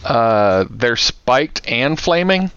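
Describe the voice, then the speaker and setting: A man's voice: medium pitch, very animated, extremely slow, fairly bright tone, clear, resonant tone, no echo, lo-fi sound. A man chatting casually as a podcast co-host, prompting with questions in an incredulous way. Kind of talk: casual question-and-answer chat.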